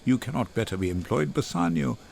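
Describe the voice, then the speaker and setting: A man's voice: mid-range voice, very animated, a little fast, creaky, darkish tone, a little hiss or hum. An older man voicing a melancholy merchant resigned to death, mournful and subdued, in a dramatic Shakespeare reading. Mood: sad resignation.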